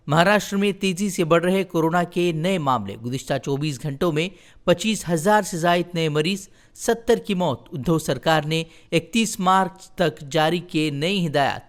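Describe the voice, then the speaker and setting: A man's voice: fairly high pitch, normal speed. A man reading a scripted news bulletin in formal, headline-style phrases. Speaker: news presenter.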